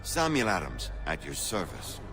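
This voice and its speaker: Composed colonial gent, middle-aged, low voice, wry and brisk, music clearly behind him. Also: male voice, wildly swooping pitch, fairly bright tone, exaggerated voice. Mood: courteous. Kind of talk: video game voice acting.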